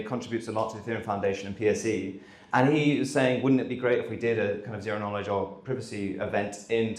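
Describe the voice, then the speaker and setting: Male host, mid-pitched, casual stage remarks, roomy hall sound. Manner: relaxed, conversational, with frequent ums. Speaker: conference host. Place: conference hall. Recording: echoey hall.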